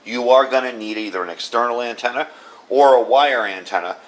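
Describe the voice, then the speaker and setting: Middle-aged male reviewer, mid-pitched, casual and explanatory, leans on repetition for emphasis in hands-on demos. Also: a little fast, low-quality recording. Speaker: video host reviewing radio gear. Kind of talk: casual product demo commentary.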